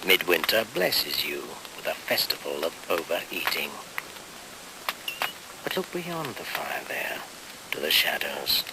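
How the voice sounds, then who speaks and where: A man playing a grave, ominous narrator of winter myth in a radio play, with a hushed, portentous delivery. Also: rumbling low, big pitch swings, a little slow, heavy background noise, heavily acted.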